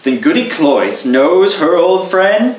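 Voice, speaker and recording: A man's voice: a little high, big pitch swings, slow, reverberant, far from the mic, pitched above the performer's own voice. A man voicing a sly, composed old male devil disguised as a traveler. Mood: knowing.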